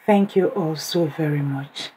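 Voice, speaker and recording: A hoarse woman vlogger unboxing birthday gifts in a casual chat, exclaiming often and talking straight to her viewers. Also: low voice, measured pace, dark tone. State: hoarse, voice partly lost. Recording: noisy home recording.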